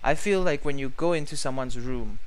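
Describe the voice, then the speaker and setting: A man's voice: mid-range voice, normal speed, clean tone, rough recording. A young man from Malaysia talks casually to the camera in his nearly empty bedroom, answering contest questions in a chatty, rambling way and often correcting himself mid-sentence.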